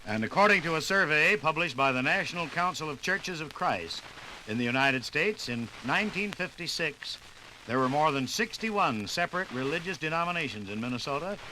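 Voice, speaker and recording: A man's voice: a little high, pushed and bright. A man reading scripted 1950s radio documentary narration in a formal, careful announcer's delivery. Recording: noisy 1950s radio record.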